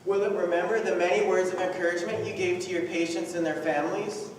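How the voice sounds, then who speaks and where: Man acting a high-voiced young man on stage. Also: fairly even intonation, fast talker, a little hiss or hum, natural voice. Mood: earnest. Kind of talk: school one-act play acting. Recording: echoey hall from far off.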